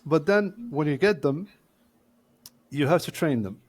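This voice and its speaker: A man's voice: on the high side, expressive, a little mellow, slightly rough. Man explaining things step by step. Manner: measured, explaining step by step with frequent "uh" pauses. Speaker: court interpreter, guest. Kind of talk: explanatory interview answers.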